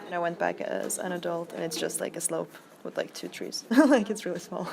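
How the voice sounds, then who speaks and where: Gravelly-voiced woman musing softly. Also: on the low side, fairly quick, crowd noise. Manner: musing, soft trailing phrases. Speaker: interviewee. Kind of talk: casual reflective answer. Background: music.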